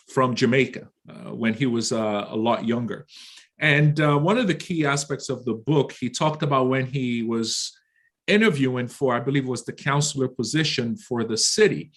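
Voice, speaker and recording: A man speaking as a panel guest, retelling something he read with enthusiasm. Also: medium pitch. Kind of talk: casual panel discussion. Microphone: video call.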